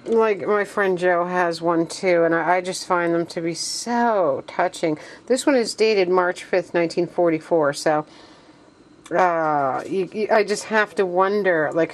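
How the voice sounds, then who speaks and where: Low-voiced woman chatting casually. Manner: gushing, informal. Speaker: vlogger showing a thrift find. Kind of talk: casual chat.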